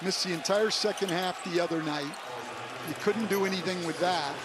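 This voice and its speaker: A man's voice: sits high, moderate pace. A man working as a basketball color analyst, giving emphatic, opinionated live commentary. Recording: very noisy relayed game broadcast. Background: loud arena ambience, bouncing ball.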